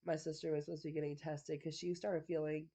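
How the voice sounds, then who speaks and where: A woman's voice: sits low, level tone, fast talker, mellow. A woman with a congested, stuffy nose chatting casually to the camera at home, rambling with lots of fillers and frequent little laughs. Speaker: vlogger. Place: at home indoors.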